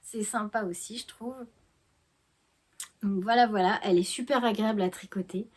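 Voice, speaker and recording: A woman's voice: medium pitch, steady tone, normal speed, a little mellow. A woman chatting casually about her knitting to her viewers, stuffy from a head cold, talking hesitantly with many fillers and restarts. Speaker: knitting vlogger. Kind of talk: casual chat to camera.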